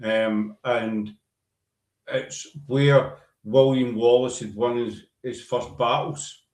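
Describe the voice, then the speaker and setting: A man with a Glaswegian Scottish accent hosting a casual live-stream interview, chatty and relaxed with stumbling restarts. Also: medium pitch, slow pace. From Glasgow, Scotland. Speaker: host. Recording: roomy echo.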